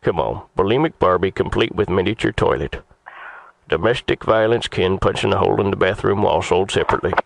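A man's voice: low voice, fairly quick, gravelly, a little hiss or hum. A man voicing an indignant older crank customer on a comedy prank call, stammering and then ranting crudely. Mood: grumbling. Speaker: prank caller on a radio show.

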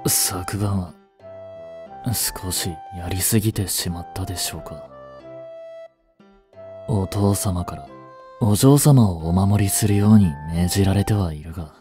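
A man's voice: low-pitched, a little slow, pauses often, dark tone, faint music behind. A young man playing a stern, overprotective butler who speaks formally and earnestly, often sighing. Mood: regretful. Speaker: voice actor. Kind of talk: Japanese voice drama acting.

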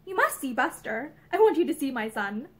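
A woman's voice: sits high, a little fast. A woman voicing a childishly eager, impulsive young wife and doting mother in a story. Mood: eager, pleading. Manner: impulsive, pleading, excitable.

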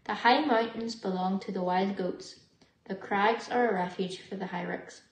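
A young woman reads from the Bible in a measured, plain way. Her voice sits at a medium pitch. The home recording has clear room echo. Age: young adult. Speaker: scripture reader. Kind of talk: read-aloud Bible passage. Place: living room, seated indoors. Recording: roomy indoor home recording.

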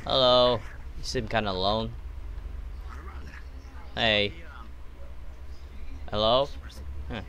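A young man casually commenting while playing a social VR game, joking, laughing and breaking into loud outbursts. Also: on the low side, fairly even intonation, very slow, pauses often, lo-fi sound. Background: game music.

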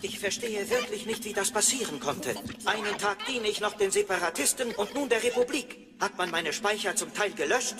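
An actor playing a fussy, indignant translator droid in a radio play, prim, formal and precise. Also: male voice, sits high, expressive, moderate pace, few pauses, background noise. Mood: flustered, indignant. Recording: reverberant studio drama with music.

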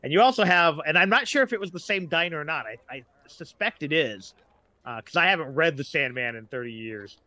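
Bright-voiced middle-aged man chatting. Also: a little high, expressive, a little fast. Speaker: podcast guest. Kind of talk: casual discussion.

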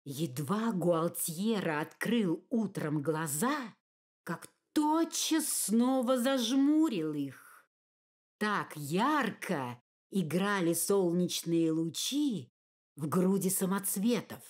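Unhurried woman narrating a fairy tale. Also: on the low side. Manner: expressive, measured storytelling. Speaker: audiobook narrator.

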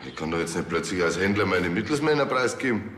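An actor playing an evasive, grumbling small-time dealer in a TV crime comedy. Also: male voice, low-pitched, animated, fast talker, a bit bright, slight background noise. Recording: echoey workshop, faint music.

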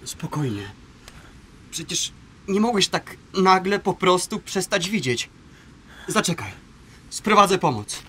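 Prince's loyal brother, radio play: reasonable, earnest, fairly high voice, faint ambience behind. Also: male voice, sing-song, slow, pauses often, fairly bright tone, a little hiss or hum, exaggerated voice. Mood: worried. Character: weary, reasonable, caring brother of a warrior prince.

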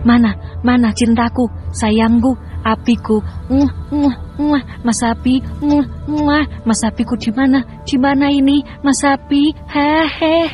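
Cartoon lovestruck young woman, voiced by a woman, high voice, doting sing-song, slow. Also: fairly even intonation, darkish tone. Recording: music behind.

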